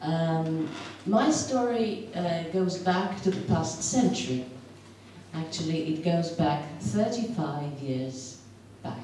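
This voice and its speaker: A middle-aged woman with a Polish accent tells a personal story to an audience, pausing often with fillers. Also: low voice, lively intonation, warm tone, slight background noise. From Poland. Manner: hesitant, many filler pauses. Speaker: storyteller at a community event. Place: large wooden hall with stage. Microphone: handheld mic, heard from the hall. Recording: echoey hall from far off.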